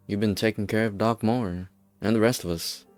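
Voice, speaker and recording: An earnest, apologetic young man voiced by a male actor talks in a fairly low, gentle voice. He is folksy and sincere, as in a game drama, over quiet music. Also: fairly even intonation, normal speed, close to an everyday voice. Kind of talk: English fan dub of an anime game.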